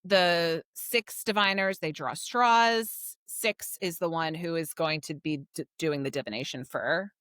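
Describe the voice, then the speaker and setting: A woman's voice: sits low, unhurried, hardly any pauses, a bit bright, clean tone, no echo, studio quality. A woman co-hosting a podcast, explaining things in a casual, conversational book discussion. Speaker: podcast co-host.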